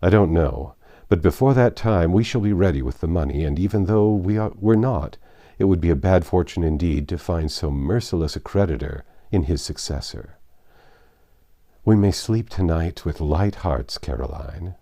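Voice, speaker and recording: A man's voice: low voice, expressive, normal speed, warm tone. A man voicing a careworn, serious young husband in a storybook reading. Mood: subdued relief.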